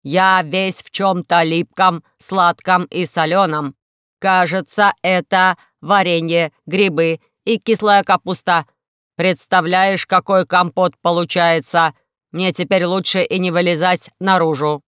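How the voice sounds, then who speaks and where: Woman voicing a slow, mournful, proud male robot. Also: fairly low pitch, steady tone, a bit bright, clean tone, no room sound, studio quality. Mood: self-pitying, ashamed. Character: melodramatic, ashamed male former combat robot guard, gloomy.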